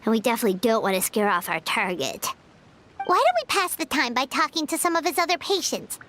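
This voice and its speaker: A woman voicing a small, chirpy, talkative fairy girl companion in a video game, squeaky and expressive. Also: fairly quick, slight background noise, natural voice. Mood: cheerful. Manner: squeaky, expressive, refers to self in third person.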